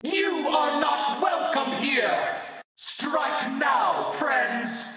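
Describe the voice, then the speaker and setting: High-voiced commanding fantasy heroine. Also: female voice, animated, extremely slow, fairly bright tone, raised voice, soft background music, heavily acted, low-quality recording. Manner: imperious, theatrical. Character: commanding fantasy warrior heroine shouting battle calls. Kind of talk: video game battle voice lines.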